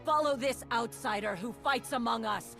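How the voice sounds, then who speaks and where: A woman's voice: on the high side, moderate pace, vocal fry, fairly bright tone, faint music behind. An actress playing a blunt, battle-hardened female warrior commander in a video game, rousing and authoritative. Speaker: military leader. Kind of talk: dramatic video game acting.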